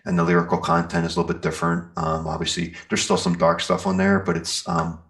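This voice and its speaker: A man with an extremely low voice and plenty of creaky fry in it. He talks casually as a guest in an interview, explaining as he goes and thinking out loud in a loose, rambling flow. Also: little pitch movement, quick pace. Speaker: interview guest, metal band singer and guitarist.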